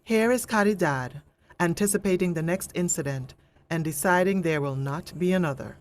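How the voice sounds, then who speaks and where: A woman's voice: on the low side, moderate pace. A woman narrator delivering scripted dramatic narration.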